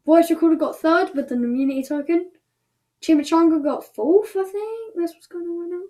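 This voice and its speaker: A woman's voice: very high-pitched, brisk, mellow. A woman casually announcing results as the host of an online show, chatty and thinking aloud as she goes.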